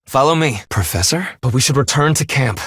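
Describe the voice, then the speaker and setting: A man's voice: mid-range voice, animated, a little fast, a bit bright, breathy edge, dry recording, studio quality. A man voicing a stern, blunt young half-human leader in a video game, speaking in a clipped, formal, commanding way. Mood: grave, matter-of-fact. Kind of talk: English video game voice acting.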